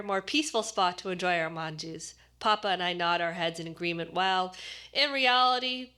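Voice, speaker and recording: A woman actor reading a short story aloud as expressive scripted narration. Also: medium pitch.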